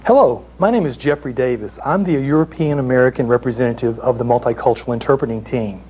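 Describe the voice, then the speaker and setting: A man's voice: medium pitch, quick pace, a little mellow, a little hiss or hum. A man from the southern United States, an interpreter educator, introducing himself in a plain, matter-of-fact way. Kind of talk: recorded panel presentation.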